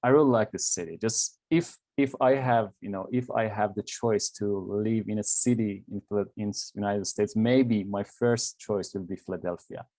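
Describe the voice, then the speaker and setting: A young man from Iran with an Iranian accent, Persian his first language, answering interview questions in a halting way, often pausing with fillers and restarting sentences. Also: lowish voice, a little mellow, no echo. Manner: halting, searching for words with many fillers and restarts. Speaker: graduate film student.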